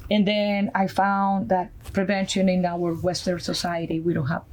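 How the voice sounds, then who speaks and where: A woman from Colombia speaks English in a Colombian Spanish accent. Her voice sits low, and she talks earnestly, stopping often to find words. Faint noise runs under the recording. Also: completely flat delivery, darkish tone. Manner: earnest, halting, many fillers. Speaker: guest, nonprofit founder. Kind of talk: podcast interview, personal story.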